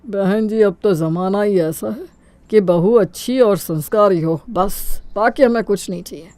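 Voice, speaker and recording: A man's voice: high voice, unhurried, a little mellow, no echo. A man voicing a calculating middle-aged father of a groom, mock-humble and persuasive, in a Hindi cartoon story.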